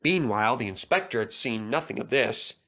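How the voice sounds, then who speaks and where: A man reading a children's story aloud as a storyteller, in an animated narrating style. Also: mid-range voice, a little fast, gravelly.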